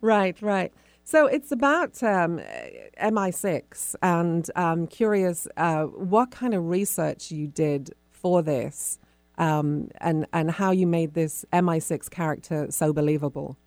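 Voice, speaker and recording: Low-pitched woman interviewing on radio. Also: takes it slowly, darkish tone, dry recording. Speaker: radio show host. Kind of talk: conversational author interview.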